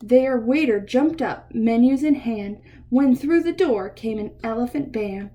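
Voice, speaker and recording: A woman reading a picture book aloud to children in an expressive way, pausing to ask her listeners questions. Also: a little high. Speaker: read-aloud storyteller for children.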